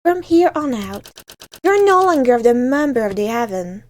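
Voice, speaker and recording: A woman's voice: on the high side, very animated, moderate pace. A woman voicing a stern, merciless female community leader who speaks in a commanding, scornful way for a visual novel.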